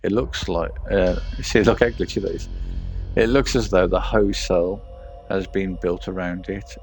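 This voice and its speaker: A man with a low, gravelly voice narrates a video game as he plays it. He reads on-screen text aloud, then drops into casual muttered reasoning about his choices. Music plays underneath. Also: fairly even intonation, unhurried, a little mellow. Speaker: game streamer.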